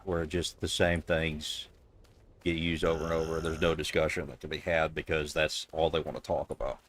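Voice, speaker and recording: A man with a deep, gravelly voice, hosting a casual chat with friends in a joking, mock-serious way. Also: little pitch movement, moderate pace. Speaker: streamer host.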